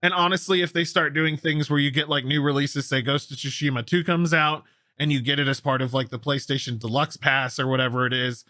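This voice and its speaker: A man's voice: medium pitch, fairly even intonation, brisk, pushed and bright, dry recording, studio quality. A man hosting a gaming news show, commenting casually and enthusiastically on stories with a co-host. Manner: enthusiastic, opinionated, conversational.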